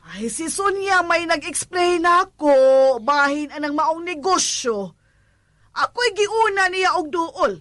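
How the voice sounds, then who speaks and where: A scolding mother-in-law with a Cebuano Filipino accent. Her voice is high and animated yet unhurried, recorded dry, in radio drama style. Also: no echo, heavily acted. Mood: explaining. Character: skeptical, scolding mother-in-law of a struggling couple.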